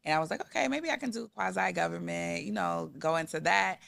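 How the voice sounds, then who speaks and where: American woman host, 30s, chatty and casual, bright voice with strong creak. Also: lowish voice, brisk, no room sound, clean studio sound. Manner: chatty, frequent "like" asides. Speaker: podcast host. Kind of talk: casual interview conversation.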